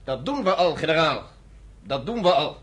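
An actor playing a dutiful, proud lieutenant in a radio drama, formal and soldierly. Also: male voice, fairly high pitch, big pitch swings, normal speed, a bit bright, a little hiss or hum. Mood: quietly defiant. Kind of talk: Dutch radio drama acting.

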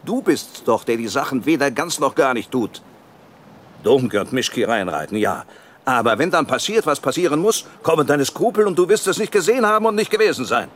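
An actor playing a ruthless, defiant older company boss in a German radio drama, arguing forcefully and with contempt. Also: male voice, mid-range voice, lively intonation, a little fast, slight background noise, close to an everyday voice. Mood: agitated, scornful. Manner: forceful, rhetorical, self-justifying.

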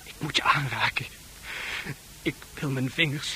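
Obsessive male lover, tender yet menacing; tense, sharp mid-range voice, insistent, slightly noisy radio play recording. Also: mid-range voice, a little slow. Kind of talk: intense radio play acting.

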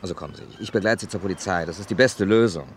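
A man plays a wry amateur drawn into a mystery, telling it in a low, dry voice. He sounds like the lead of an old German radio thriller, with faint noise on the recording. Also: very fast, close to an everyday voice. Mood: urging, concerned. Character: male first-person crime narrator, wry, drawn into trouble. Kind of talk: 1950s-80s German radio crime play acting.

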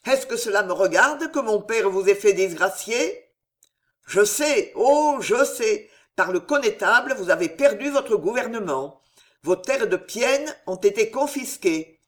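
A reader voicing a frenzied, desperate young nobleman who speaks loudly and vehemently in an old-fashioned storybook. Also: medium pitch, animated, moderate pace, few pauses, lightly acted. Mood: frantic. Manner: loud, vehement, near shouting.

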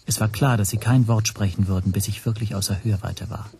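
An actor playing an anxious man who narrates his own story in a radio drama, measured and introspective. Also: lowish voice, fairly even intonation, creaky, noisy background. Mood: brooding. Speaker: first-person narrator.

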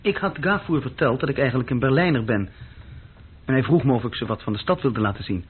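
Husky troubled young man, plainly telling his story. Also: mid-range voice, expressive, quick pace. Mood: matter-of-fact, reflective. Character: troubled young German man recounting his past, plain-spoken, fearful. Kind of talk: radio play first-person narration. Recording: dull lo-fi old radio play recording.

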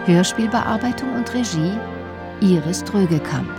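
A woman's voice: medium pitch, lively intonation, a little slow, gravelly. A woman reading scripted radio credits as an announcer. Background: loud music.

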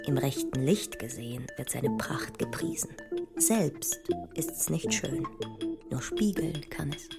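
A woman playing a grave, introspective mathematician who recalls her life in a measured, hushed literary monologue. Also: a bass voice, animated, gravelly, exaggerated voice. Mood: wry, musing. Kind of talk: literary audio drama monologue. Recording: music under the voice. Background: music.

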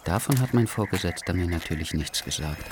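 An actor playing a sceptical, grumbling stranded train traveller in a radio play, dry and muttering. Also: male voice, low voice, expressive, brisk. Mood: puzzled, grumbling. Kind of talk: German radio play dialogue and monologue. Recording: clearly noisy background.

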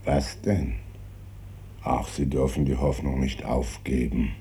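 A man's voice: steady tone, slow, mellow, a little hiss or hum. An actor playing a grave, evasive male medical professor in a 1950s radio drama, speaking in a subdued, guarded voice. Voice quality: very deep, subdued. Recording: old radio recording with music underneath.

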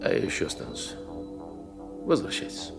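An actor playing a brooding, questioning space officer in a science-fiction audio drama. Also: male voice, normal speed, music in the background, lightly acted. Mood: commanding.

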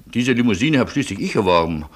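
An actor in a German radio play playing a courteous, wry refugee man, husky, speaking in polite, ironic and wordy turns. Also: medium pitch, big pitch swings, fast. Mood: resigned, wry.

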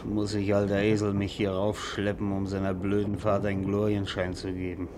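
An actor playing an ailing, proud, irritable older writer in a German radio drama, grumbling and self-mocking in a weary manner. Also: male voice, on the low side, completely flat delivery, fairly quick, natural voice. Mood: pained, regretful. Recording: noisy radio drama recording.

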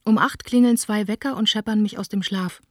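A woman's voice: mid-range voice, fairly even intonation, fairly quick, dry recording, clean studio sound, natural voice. An actress playing a lovesick young woman who reads her intimate, wistful letters aloud in a radio play. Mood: longing.

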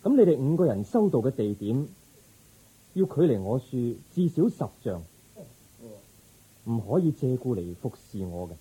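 A man playing a calm, resolute young prince seeking enlightenment in a Cantonese radio drama, speaking in an earnest, measured way. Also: medium pitch, breathy edge, rough recording. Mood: firm. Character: resolute young prince turned spiritual seeker, calm, compassionate.

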